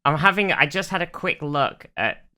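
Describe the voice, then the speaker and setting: A woman with a crisp, bright voice chats informally as a podcast co-host. Her tone is clean and smooth, in loose unscripted conversation. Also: sits low, expressive, normal speed. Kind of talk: casual unscripted podcast chat.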